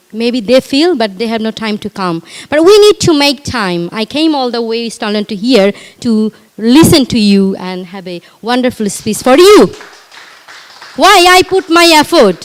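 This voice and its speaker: A middle-aged woman from Bangladesh with a Bangladeshi accent, giving an earnest personal speech at a community event, halting and repeating herself as she speaks to the audience. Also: fairly high pitch, big-room sound. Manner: earnest, repetitive, halting, addresses audience directly. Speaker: guest speaker. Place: community hall.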